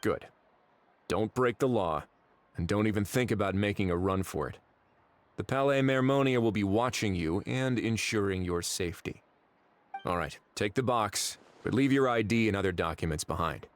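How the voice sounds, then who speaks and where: A man voicing a shrewd, easygoing, world-weary official in a video game, with dry, measured authority. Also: fairly low pitch, animated, close to an everyday voice. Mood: firm, dry. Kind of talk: animated video game voice acting.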